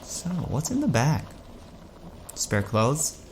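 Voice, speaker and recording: A man's voice: mid-range voice, huge pitch swings, slow pace, noisy background. An actor playing a weary, affable man driving at night in an audio drama, conversational, hesitant and wry. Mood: wry, friendly. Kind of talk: audio drama acting, narration and dialogue.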